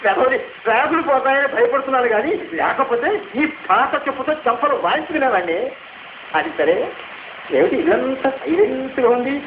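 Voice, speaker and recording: An older man playing an excitable, self-pitying retired father-in-law in a radio comedy drama, exasperated and given to dramatic exclamations. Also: extremely high pitch, fairly quick. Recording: echoey, narrow-band, noisy old radio recording.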